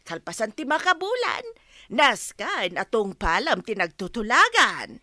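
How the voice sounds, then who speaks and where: A woman's voice: fairly high pitch, wildly swooping pitch, unhurried, pushed and bright, no echo, clean studio sound, heavily acted. A woman acting a proud, insistent friend with an Ilocano accent in a Filipino audio drama. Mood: firm, teasing.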